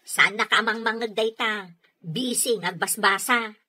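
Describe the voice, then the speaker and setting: A woman's voice: medium pitch, a little slow, sharp tone, breathy edge, exaggerated voice. A woman playing a complaining, hard-to-please housewife and elder sister in a radio comedy drama. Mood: envious, grumbling.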